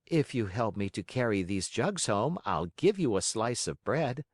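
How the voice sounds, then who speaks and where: A man voicing a kindly, motherly fairy woman in a storybook, speaking in a gentle, measured, teasing way. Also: medium pitch, very animated, slightly rough, dry recording, studio quality. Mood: coaxing. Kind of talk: audiobook character acting.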